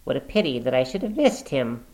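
A man voicing an eccentric, excitable gentleman naturalist who is watchful and wary, in an audiobook reading. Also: medium pitch, a little fast, creaky, low-quality recording.

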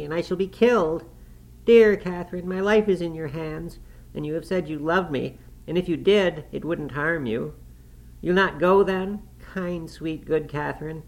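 Male reader doing sickly young man, frightened, tearful, pleading, pitched well up. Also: steady tone, fairly quick, vocal fry, hardly any pauses, low-quality recording. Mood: sobbing. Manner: tearful, imploring.

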